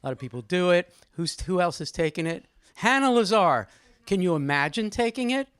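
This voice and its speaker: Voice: mid-range voice, very animated, brisk, a bit bright, smooth, no echo. A host leading a group discussion at a live gathering, speaking conversationally and teasingly, calling on people by name. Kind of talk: informal live moderating, with some poetry reading. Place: indoor room at a live gathering.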